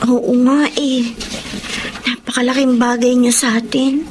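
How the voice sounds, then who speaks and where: Gentle younger sister for radio drama, played by a woman: high voice, agreeable and reassuring, faint noise. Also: flat delivery, unhurried, natural voice. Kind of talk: Filipino radio drama acting.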